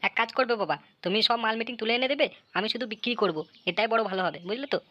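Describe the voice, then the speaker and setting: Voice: mid-range voice, lively intonation, racing, lightly acted. A performer voicing a cheeky, idle young man in a comic cartoon, with crude, slangy delivery. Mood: eager. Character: Futo, cheeky idle young man turning fish seller. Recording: dull lo-fi audio with some noise.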